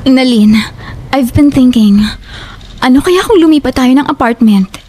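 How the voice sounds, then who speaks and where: A woman's voice: sits high, unhurried, music in the background. A woman with a Filipino accent acting a firm, fed-up young woman in an audio drama. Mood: uneasy. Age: young adult.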